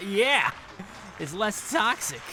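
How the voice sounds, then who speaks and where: An actress playing a guarded young worker and storyteller in a sci-fi audio drama. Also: female voice, medium pitch, sing-song, takes it slowly. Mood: wry. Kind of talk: scripted first-person narration and audio drama acting. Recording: prominent music behind voice.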